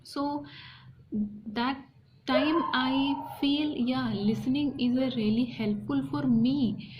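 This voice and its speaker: A woman from India with an Indian accent, speaking hesitant, halting English with repeated phrases in a personal talk to viewers. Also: fairly high pitch. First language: an Indian language alongside Hindi. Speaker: vlogger. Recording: dull lo-fi sound, faint background noise.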